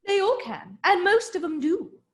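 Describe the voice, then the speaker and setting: A woman's voice: extremely high pitch, big pitch swings. A woman playing a bad-tempered, domineering Duchess in a dramatic reading of a children's classic, drawing out her words with exaggerated imperiousness. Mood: curt, dismissive. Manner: drawn-out, exaggerated, imperious delivery.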